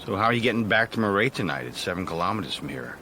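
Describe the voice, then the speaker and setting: An actor playing an urbane married man in a radio drama, a courteous host who talks casually and politely while quietly probing. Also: sits low, big pitch swings, racing, full character voice. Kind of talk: naturalistic radio drama dialogue. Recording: clearly noisy background ambience.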